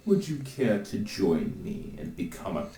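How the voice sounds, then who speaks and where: Man playing an animated, idealistic would-be conqueror. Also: low-pitched, measured pace, gravelly, roomy sound, exaggerated voice. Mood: grandly inviting. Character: idealistic, inviting father-figure conqueror. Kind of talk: parody anime dub acting.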